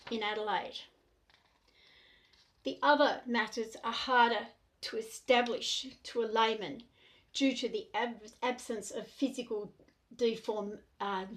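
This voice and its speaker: A middle-aged Australian woman speaking to the camera in an earnest, deliberate way, in short measured phrases. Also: on the high side, rough recording. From New South Wales, Australia. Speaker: self-represented complainant. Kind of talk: monologue to camera.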